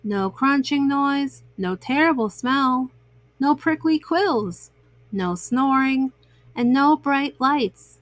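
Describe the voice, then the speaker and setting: A woman's voice: high-pitched, expressive, slow, dry recording. A woman voicing a polite, childlike little boy bunny in a bedtime storybook. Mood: weary.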